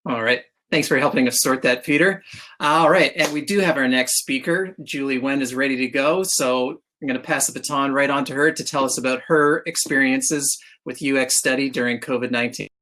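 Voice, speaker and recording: A man hosting an online event over a video call, brisk and friendly as he introduces the next speaker. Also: medium pitch, bright, forceful tone. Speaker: host.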